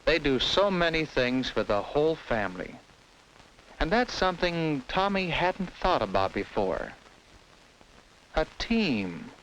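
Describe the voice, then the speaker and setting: A man's voice: on the high side, fairly bright tone, a little hiss or hum, low-quality recording. An American man narrating a 1950s educational film in simple, instructive storytelling addressed to children, on a vintage film soundtrack.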